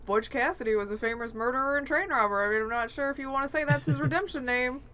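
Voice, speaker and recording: A woman's voice: sits high, fast talker, pushed and bright. A woman hosting a casual gaming news chat, speaking in a wry, opinionated way. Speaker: podcast host.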